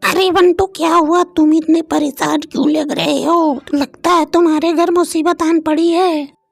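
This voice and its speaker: A woman's voice: extremely high pitch, steady tone, measured pace, gravelly, no room sound, faint music behind, heavily acted. A woman voicing a concerned little bird friend in a moral-story cartoon.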